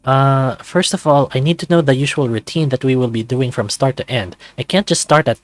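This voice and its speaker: A man's voice: medium pitch, fairly quick, no room sound, natural voice. A man voicing a mildly snarky male furry newcomer at a lab in a visual novel. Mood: uncertain. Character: male furry new lab worker, snarky but eager. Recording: music playing behind close dry voice.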